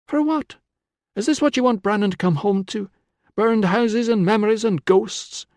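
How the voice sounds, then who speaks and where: A man's voice: high voice, normal speed, a little mellow, dry recording, clean studio sound, voice pushed up. A man voicing a defiant young woman who pleads and challenges urgently in an audiobook story. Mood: pleading. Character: defiant, pleading young Celtic woman confronting her father.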